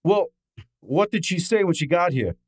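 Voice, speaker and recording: A man's voice: high-pitched, big pitch swings, moderate pace, slightly rough, no echo, clean studio sound, full character voice. A man playing a brash, wisecracking detective's assistant and narrator in a snappy old-time radio drama style. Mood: brash, incredulous. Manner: snappy, hard-boiled, wisecracking.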